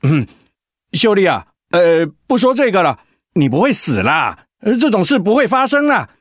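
Radio drama husband: Taiwanese accent, placating and flustered, widely swinging intonation, dry close sound. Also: male voice, high-pitched, big pitch swings, moderate pace, no echo. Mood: flustered, concerned. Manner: sighing, placating, hesitant. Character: patient, flustered, devoted husband and father.

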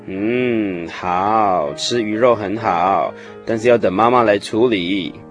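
A man playing a patient, steady father of small children in a radio drama, coaxing and explaining. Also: on the low side, takes it slowly, faint music behind.

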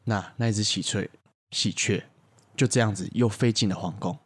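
A man's voice: on the low side, fairly even intonation, normal speed, slightly rough. A man, casually improvising stories as a podcast storyteller.

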